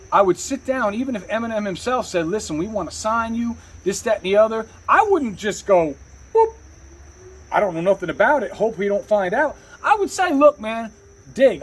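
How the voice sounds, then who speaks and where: Guy rapper chatting in an interview, high husky voice, slangy and rambly, lots of you knows. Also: rough recording. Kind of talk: casual interview storytelling.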